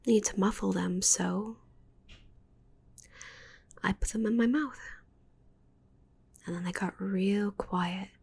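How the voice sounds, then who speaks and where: Woman acting a slow, whispering possessive witch. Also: mid-range voice, darkish tone, exaggerated voice. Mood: gloating, hushed. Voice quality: breathy whisper. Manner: slow, intimate, teasing delivery. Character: giant possessive yandere witch, sultry, gloating, doting. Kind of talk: whispered ASMR roleplay.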